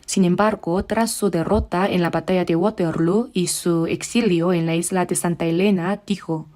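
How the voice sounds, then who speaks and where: A woman delivers a sermon in a warm, mellow voice. She speaks at a measured pace and draws out the scripture passages slowly. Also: on the low side, steady tone. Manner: measured, slows down for scripture readings. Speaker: preacher. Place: church.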